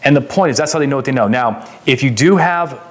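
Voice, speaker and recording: A man's voice: mid-range voice, animated, fast talker. A man with an American accent giving an explanatory legal lecture in an emphatic, conversational way, often posing questions and answering them as an instructor.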